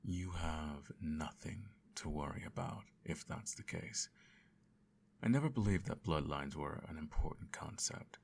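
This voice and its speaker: A man playing a cold, controlling emperor and adoptive father in an ASMR bedtime roleplay, speaking formally with measured, condescending menace. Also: darkish tone, a little hiss or hum, full character voice. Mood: measured, reassuring. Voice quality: very deep, creaky.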